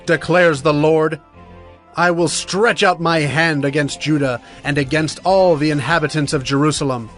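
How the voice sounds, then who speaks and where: An actor playing a stern, urgent Hebrew prophet of doom in a solemn, emphatic dramatized scripture reading. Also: male voice, on the high side, lightly acted. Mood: stern warning. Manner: solemn, emphatic, deliberate oratory. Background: music.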